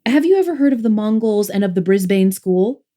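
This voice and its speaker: A woman's voice: mid-range voice, expressive, brisk, dark tone, natural voice. A woman playing a haughty, easily horrified passenger of a future world in an audiobook drama, with an imperious, disdainful manner. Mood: curt, lofty. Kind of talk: audiobook full-cast drama acting.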